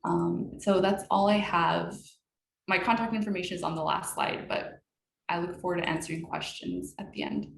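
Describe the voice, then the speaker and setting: Low-voiced woman in an echoey room. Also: fairly even intonation, creaky. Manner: measured, with many ums. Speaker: guest speaker. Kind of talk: advice presentation. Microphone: video call.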